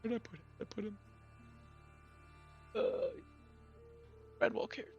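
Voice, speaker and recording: A man improvising casual tabletop narration as game master, in a halting, informal way. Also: high-pitched, steady tone, vocal fry, lo-fi sound, soft background music.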